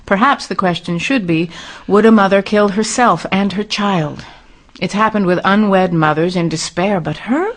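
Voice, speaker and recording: A woman's voice: medium pitch, moderate pace, close to an everyday voice. Wry, composed woman doctor played by an actress. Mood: matter-of-fact. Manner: wry, matter-of-fact. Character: dry-witted, composed female autopsy doctor. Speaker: radio drama actor. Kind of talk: old-time radio mystery acting.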